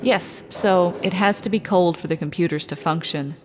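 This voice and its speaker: A woman's voice: fairly low pitch, moderate pace, lightly acted. A woman playing a composed facility guide in an audio story, explaining things matter-of-factly. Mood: composed, informative. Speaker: voice actor. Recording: long reverb under music.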